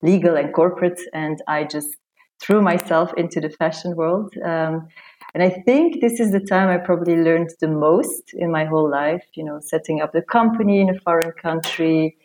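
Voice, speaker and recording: A woman's voice: on the low side, normal speed, darkish tone, low-quality recording. A woman raised in Belgium, a guest on a podcast, talking in a friendly, chatty way with many fillers. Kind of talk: casual interview chat.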